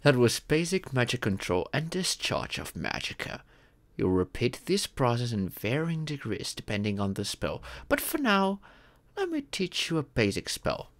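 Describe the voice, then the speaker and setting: A man playing a fatherly dragon mentor in an ASMR fantasy roleplay, patiently telling stories and teaching magic in a gentle, measured, instructive way. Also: mid-range voice, wildly swooping pitch. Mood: encouraging. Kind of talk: ASMR audio roleplay, scripted fantasy storytelling.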